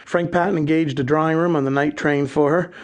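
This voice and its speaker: An actor playing an earnest, well-off small-town client who speaks in a measured, storytelling way. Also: male voice, on the high side, fairly even intonation, natural voice. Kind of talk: 1930s-style radio drama acting.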